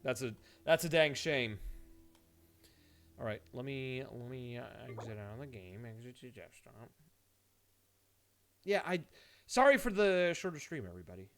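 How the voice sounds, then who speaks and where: A man's voice: mid-range voice, sing-song, normal speed, long pauses, a bit bright. A man doing casual live game-stream commentary, rambling playfully with dry asides. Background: music.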